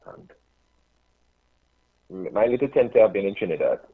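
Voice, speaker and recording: A man in his thirties with a Tobagonian accent, calling into a radio show and speaking earnestly with halting restarts. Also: low-pitched, sing-song, husky. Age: 30s. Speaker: caller. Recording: phone line.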